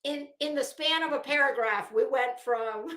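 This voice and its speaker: A woman reading aloud with mocking commentary, sarcastic and ranting, swearing freely and stopping for halting, drawn-out emphasis. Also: high-pitched, fairly bright tone. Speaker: commentary vlogger. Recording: roomy, echoing room sound.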